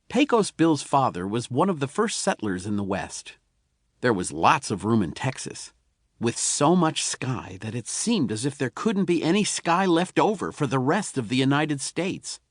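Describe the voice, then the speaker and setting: Male audiobook narrator for kids' folklore, mid-pitched, very lively intonation, folksy delivery. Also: no echo, studio quality. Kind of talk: scripted storytelling narration of a children's tall tale.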